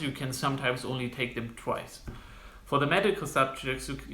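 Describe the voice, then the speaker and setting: A young man from Germany with a German accent, giving casual advice to camera as a medical student, halting with frequent restarts. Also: mid-range voice, bright, forceful tone. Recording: roomy, echoey room sound.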